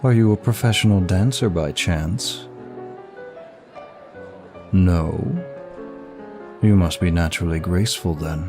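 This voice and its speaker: Man acting a deep, unhurried, seductive demon gentleman. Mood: charming, admiring. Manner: smooth, flirtatious, formal, unhurried. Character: suave, seductive male demon of lust, courtly flatterer. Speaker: audio roleplay voice actor. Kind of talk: intimate ASMR-style roleplay, one side of a dialogue. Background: music.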